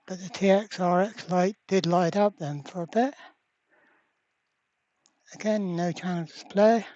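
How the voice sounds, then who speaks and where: A repair channel host talks slowly through the work in a slightly dull, lo-fi recording. The delivery is casual and blunt, with an exasperated edge, as if working each problem out aloud. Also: medium pitch, warm tone, slight background noise. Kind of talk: casual hands-on repair vlog narration.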